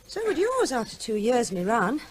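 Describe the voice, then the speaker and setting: An actress playing a vet's playful grown daughter in a vintage radio drama, young and animated. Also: female voice, fairly high pitch, fairly quick, a little hiss or hum. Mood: lively. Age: young adult. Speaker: Tess, the daughter.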